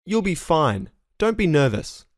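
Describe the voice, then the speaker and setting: A man reading short English reply lines slowly and clearly, repeating each phrase for learners. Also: on the high side, sing-song, no echo, clean studio sound. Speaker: dialogue reader for English learners. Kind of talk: slow scripted phrase repetition.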